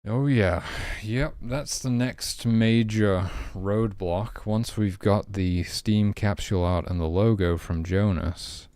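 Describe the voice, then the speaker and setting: A man's voice: fairly low pitch, slow pace, hardly any pauses, warm tone, dry recording, studio quality. A man talking casually and hesitantly as he thinks aloud while working, like an indie developer on a stream. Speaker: indie game developer streaming his work.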